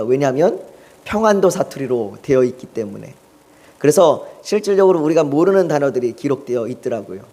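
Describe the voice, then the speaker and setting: A man preaches a Sunday sermon with a fairly high voice. He tells stories calmly and lifts his voice when he urges a point. His words ring with the long reverb of a church sanctuary. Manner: calm storytelling, rising in emphasis when urging. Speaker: pastor.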